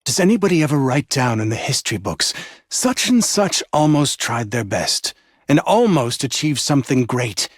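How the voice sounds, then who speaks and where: A husky intense mentor villain, super demanding and condescending, pitch swings all over. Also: male voice, sing-song, normal speed, no echo, clean studio sound. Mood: urgent, demanding. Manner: theatrical, exacting, condescending. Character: intense, demanding male mentor, manipulative and passive-aggressive.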